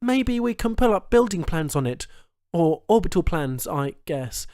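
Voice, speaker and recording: A man's voice: high-pitched, sing-song, unhurried, a little mellow, no echo, clean studio sound, voice pushed up, heavily acted. A man voicing a blunt, sardonic captive woman journalist in an audiobook. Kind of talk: audiobook character dialogue.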